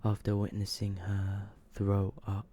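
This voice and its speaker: A man softly whispering and murmuring as he reads in an ASMR style, hushed and drawn out, close to the microphone. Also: on the low side, completely flat delivery, slight background noise. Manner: hushed, slow, drawn-out delivery. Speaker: ASMR video host reading short horror stories.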